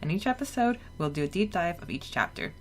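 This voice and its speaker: A woman's voice: lowish voice, big pitch swings, fast talker, slight background noise. A woman co-hosting a fan podcast from a friend's home, chatting casually with fillers and laughter. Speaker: podcast co-host. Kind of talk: casual chat.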